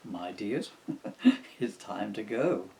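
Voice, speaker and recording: A man voicing a big, kindly, jolly old Santa with a hearty, booming manner in a storybook reading. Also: sing-song, very slow, gravelly, darkish tone, slight background noise, full character voice.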